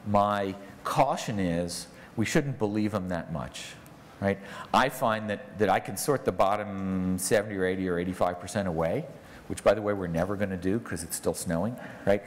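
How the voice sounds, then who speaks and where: A man's voice: sits low, moderate pace. A middle-aged man giving a conference talk on stage, speaking conversationally and often ending points with "right?", on a lapel mic. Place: large conference hall on stage. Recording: long reverb of a large hall.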